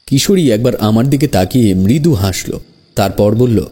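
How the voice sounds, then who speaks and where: Casting: male narrator for audio horror fiction, deepish pitch, very soft mellow timbre, scripted first-person delivery. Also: animated, normal speed, husky.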